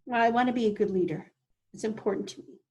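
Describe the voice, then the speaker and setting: A woman's voice: fairly high pitch, quick pace, a little mellow. A woman talking conversationally as a coaching client, in roleplay and reflection.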